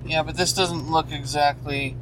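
A man's voice: on the high side, level tone, normal speed. A man bantering casually with a friend in a moving car, joking and rambling with lots of fillers. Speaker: co-host. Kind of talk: casual chat. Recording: noisy car with road noise.

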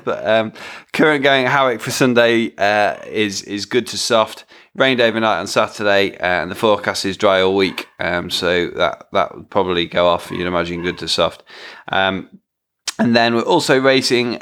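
A man's voice: on the low side, a bit bright. A man hosting a casual chat, rambling and hesitant with many fillers and restarts. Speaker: podcast host.

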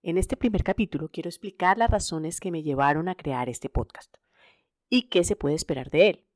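A woman's voice: on the low side, normal speed, darkish tone, no room sound, clean studio sound. A middle-aged woman with a Colombian accent hosting a podcast alone, reading a scripted personal narration. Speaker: podcast host.

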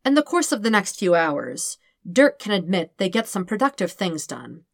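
A woman's voice: medium pitch, big pitch swings, moderate pace, creaky, no room sound, clean studio sound. A woman reading scripted fiction as an audiobook narrator.

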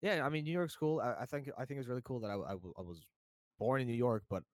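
A man's voice: medium pitch, fast, dry recording. Laid-back young American man, dry sound. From New Jersey, United States. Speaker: podcast guest. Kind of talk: casual chat.

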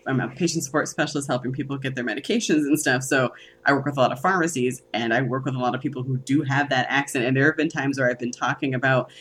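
A woman's voice: rumbling low, quick pace, a bit bright, slight background noise. A young woman from Massachusetts, chatting and laughing easily as a podcast co-host. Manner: giggly, upbeat. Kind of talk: casual chat.

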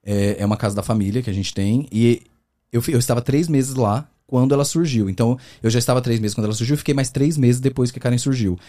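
A man from the interior of São Paulo state, with that region's accent, chatting as a guest on a podcast. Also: medium pitch. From Brazil, interior of São Paulo state. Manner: fast, animated storytelling with many fillers. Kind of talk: casual interview chat.

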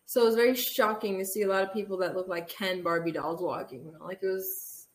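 A woman's voice: medium pitch, steady tone, fast talker. Chatty young woman, podcast guest. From Los Angeles, USA. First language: Armenian. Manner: chatty, laughs often, hesitant restarts. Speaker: podcast guest, beauty content creator. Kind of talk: casual chat.

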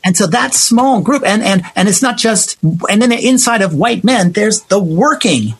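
An American man talking casually about politics in an emphatic, explanatory way, repeating phrases for stress, with a husky voice. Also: high-pitched, expressive, moderate pace, a bit bright, a little hiss or hum.

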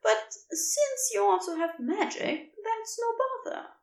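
A huge, gentle dragon creature, voiced by a woman reading a podfic, speaks in a lifted, soft and fussing tone. It sounds kind and warm toward the one it looks after, with a tone set apart from the narration. Its voice swings lively up and down. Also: very high-pitched, takes it slowly, reverberant, recorded from a distance, voice pushed up, full character voice. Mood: fond. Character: gentle fond dragon creature, big, caring. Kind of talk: podfic character voice.

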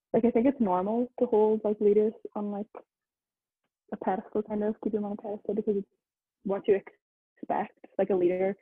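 A woman's voice: mid-range voice, dry recording. A young woman, a student, chatting loosely in a discussion with lots of "like" fillers. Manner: rambling, many "like" fillers. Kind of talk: casual discussion. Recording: dry, phone-like, husky-sounding line.

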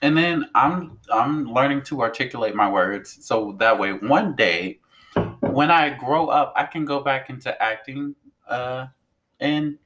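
A young man from New Orleans co-hosting a podcast, talking in a loud, animated, profane way. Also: medium pitch, measured pace. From New Orleans, Louisiana. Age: young adult. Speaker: podcast co-host. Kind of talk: casual chat.

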